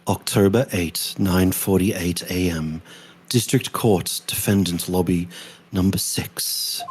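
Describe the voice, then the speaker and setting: A man's voice: low-pitched, takes it slowly, a little mellow, husky, full character voice. A man voicing a solemn announcer who draws out dates and courtroom locations in a live game dub. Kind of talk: drawn-out caption reading in a live game dub.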